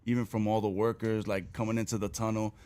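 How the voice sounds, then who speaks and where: A hoarse man on a podcast, chatting excitedly. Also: lowish voice, fast talker. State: hoarse from screaming. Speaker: podcast co-host. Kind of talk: casual chat.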